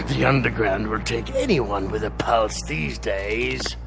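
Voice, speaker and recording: An actor playing a big, sly, menacing crime boss in a video game, drawling with a gravelly voice and questioning tags. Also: male voice, fairly low pitch, lively intonation, slow pace, exaggerated voice. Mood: smug menace. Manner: drawling, ends phrases with a questioning eh. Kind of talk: video game cutscene voice acting. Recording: loud music under the voice.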